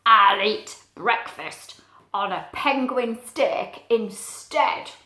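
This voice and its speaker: Woman putting on a slow, growling polar bear. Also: mid-range voice, animated, sharp tone, heavily acted. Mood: hungry, grumpy. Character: hungry, grumpy polar bear mother. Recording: echoey, roomy sound.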